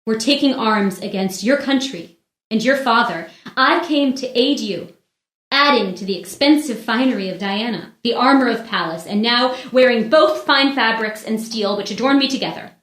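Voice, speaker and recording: A woman's voice: on the high side, moderate pace, fairly bright tone, natural voice. An actress playing a proud, wronged noblewoman in a classical stage drama, speaking in formal, declamatory speeches. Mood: rousing, resolute. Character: wronged noblewoman in armor, proud, pleading, vengeful. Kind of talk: classical stage verse drama, unrehearsed read. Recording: roomy echo around the voice.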